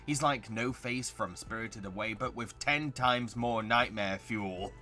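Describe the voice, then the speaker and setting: A man's voice: medium pitch, bright, forceful tone. A man reading scripted video essay narration as the host.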